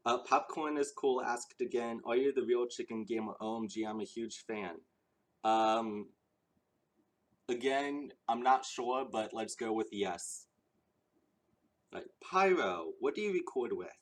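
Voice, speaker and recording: A man's voice: mid-range voice, unhurried. A young man answering viewer questions in a casual chat, earnest and eager, rambling with ums and restarts, a little out of breath. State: slightly out of breath.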